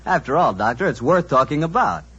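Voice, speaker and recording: A man with an American accent doing scripted 1940s radio announcing and sponsor pitches. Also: sing-song, a little fast, fairly bright tone, slight background noise. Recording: muffled vintage 1940s radio recording.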